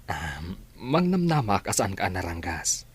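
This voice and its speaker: An actor playing a soft-spoken, earnest father in a radio drama. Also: low-pitched, sing-song, unhurried, breathy edge, heavily acted.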